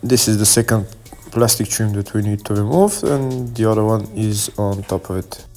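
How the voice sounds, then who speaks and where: Low-pitched man giving halting how-to instructions. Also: darkish tone, soft background music. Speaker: car repair tutorial presenter. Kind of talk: step-by-step how-to narration.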